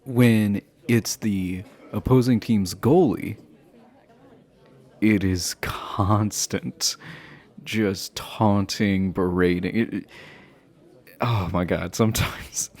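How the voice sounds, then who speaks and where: Man acting as goofy himbo hockey player, lowish voice, very unhurried pace, playful and teasing, casual audio roleplay. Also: steady tone, a little mellow. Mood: amused. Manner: playful, laughs often, halting teasing delivery. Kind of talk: intimate boyfriend-roleplay acting, casual improvised chat.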